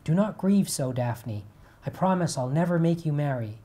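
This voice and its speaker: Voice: medium pitch, animated, moderate pace, dark tone, close to an everyday voice. A storyteller voicing an older male river god, a stubborn father who calls out insistently to his daughter. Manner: calling out, insistent.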